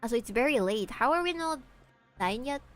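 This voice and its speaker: A woman's voice: fairly high pitch, fairly quick, faint music behind. A young woman from Peru whose first language is Spanish, chatting casually and swearing freely while streaming a game. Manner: chatty and animated, swears freely, reacts to chat.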